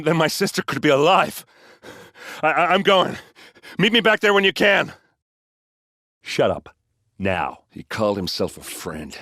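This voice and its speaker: Video game role: hard-drinking warrior captain, low-pitched, blunt, soft-hearted under the gruffness. Dry, close recording. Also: male voice, no echo, full character voice. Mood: upset, resolute. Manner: blunt, informal, halting when emotional. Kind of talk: video game character dialogue.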